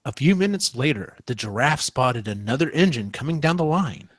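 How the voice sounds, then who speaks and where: A man's voice: mid-range voice, animated, normal speed, no echo. A man, a podcast co-host, reading a comic parody storybook aloud.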